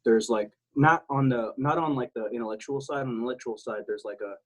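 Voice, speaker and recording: Husky rambling veteran man from South Texas. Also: mid-range voice, fast. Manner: rambling, many restarts and fillers, blunt and swearing. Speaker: interview guest, military veteran. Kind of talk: casual interview talk.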